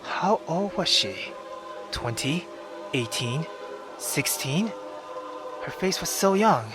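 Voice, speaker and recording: Slow-reading man over game music. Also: on the high side. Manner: slow, steady storytelling with self-corrections. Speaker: gaming YouTuber. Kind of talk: reading a visual novel aloud.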